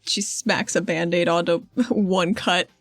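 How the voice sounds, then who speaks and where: A woman's voice: on the low side, fairly even intonation, slow, no echo, faint music behind. A woman playing a tabletop roleplaying game, casually narrating what her character does.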